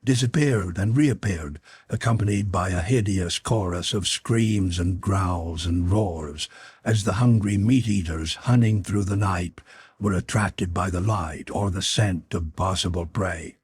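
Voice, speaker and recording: Guy narrating an audiobook, lowish really husky voice, story reading, dry sound. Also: unhurried, a little mellow. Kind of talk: scripted literary narration.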